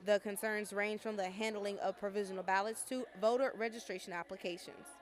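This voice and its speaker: A woman's voice: medium pitch, fairly even intonation, fairly quick. A woman with an American accent reads scripted narration as a television news reporter.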